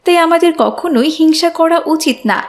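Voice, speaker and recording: A woman's voice: very high-pitched, normal speed. A woman narrating a moral cartoon story in a scripted storytelling style.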